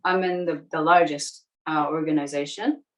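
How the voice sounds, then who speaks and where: A woman in her forties from New Zealand, with a light New Zealand accent, chatting in a relaxed way as a podcast guest. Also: deep voice, normal speed. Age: 40s. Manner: relaxed, chatty, laughs easily. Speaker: interview guest. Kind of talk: casual interview chat. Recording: distant from the mic.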